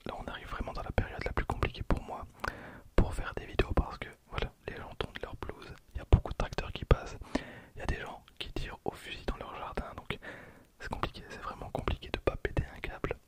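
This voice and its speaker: A man in his late twenties whispering ASMR commentary softly and unhurriedly, close to the microphone. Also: fast talker. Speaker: ASMR video creator opening trading cards. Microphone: close handheld stereo recorder.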